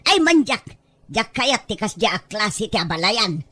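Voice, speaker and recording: A woman's voice: mid-range voice, big pitch swings, measured pace, a bit bright, dry recording. A woman playing a scornful middle-aged mother in an audio drama, complaining about a neighbor with open disdain. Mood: bitter, disapproving.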